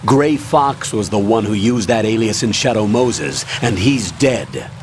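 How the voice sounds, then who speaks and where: An actor playing a stern, authoritative older colonel who briefs firmly and formally in a video game. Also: male voice, on the low side, expressive, measured pace, a little hiss or hum. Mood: stern, flat. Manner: firm, formal, matter-of-fact. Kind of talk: dramatic game voice acting.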